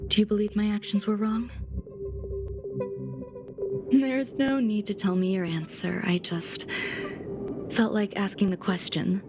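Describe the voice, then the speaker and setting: A soft-spoken genius scientist, voiced by a woman in a game, aloof and measured, with a middle-pitched voice. She talks calmly and sometimes sinks into a hushed whisper. Game music plays clearly behind her. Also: close to an everyday voice. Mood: detached. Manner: calm, measured, softly whispered at times. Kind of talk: game cutscene voice acting.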